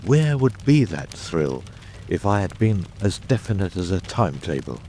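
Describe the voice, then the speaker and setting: A man plays a masterful detective in an audiobook drama, with a very deep and dark voice. He is grave and theatrical, speaking in measured, eloquent, commanding phrases. A faint noise sits behind him. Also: big pitch swings.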